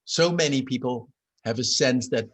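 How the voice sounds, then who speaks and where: Man, professor, class introduction, mid pitch, slight restarts. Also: moderate pace. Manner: warm formal introduction, some restarts. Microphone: video call.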